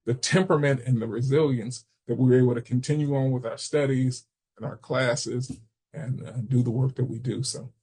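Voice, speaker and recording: American man presenting a training webinar, slightly husky. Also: mid-range voice, steady tone, normal speed, warm tone. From Chicago, United States. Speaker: webinar presenter, substance misuse prevention trainer.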